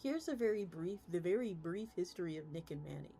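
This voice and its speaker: A woman's voice: lowish voice, lively intonation, brisk, mellow. Woman streamer reading aloud over music. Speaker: VTuber streamer. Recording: music playing behind the voice. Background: background music.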